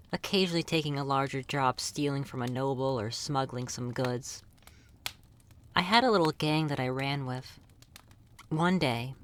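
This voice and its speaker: A woman voicing a lonely, centuries-old female vampire in an intimate ASMR roleplay, soft-spoken and confiding, speaking fondly and wistfully to the listener. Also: sits low, noisy background.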